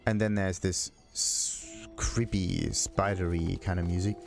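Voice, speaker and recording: A man's voice: sits low, steady tone, measured pace, vocal fry, soft background music. A man giving casual, rambling video game commentary as a let's play host, thinking aloud to himself.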